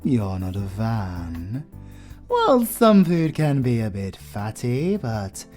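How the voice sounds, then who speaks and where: A man's voice: mid-range voice, huge pitch swings, takes it slowly, mellow, faint music behind. A man with a British accent playing a suave, flirtatious vampire lord in an audio roleplay, courteous, theatrical and playfully teasing. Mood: smooth, sly.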